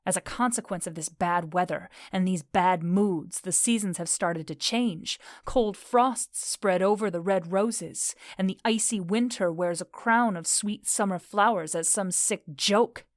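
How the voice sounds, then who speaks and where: A woman's voice: medium pitch, measured pace, hardly any pauses, no room sound, studio quality, lightly acted. A woman playing a proud, defiant fairy queen in an audio drama, declaiming with dramatic indignation. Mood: aggrieved. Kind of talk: modern-English audio drama acting.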